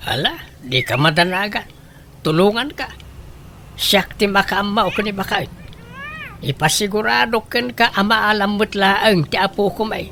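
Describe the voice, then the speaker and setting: Woman doing an old grandpa, mid pitch, measured and chiding, radio drama w/ slight noise. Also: lively intonation, a bit bright. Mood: matter-of-fact. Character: old grandfather, sensible, chiding, plain-spoken husband. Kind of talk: comedy radio drama acting.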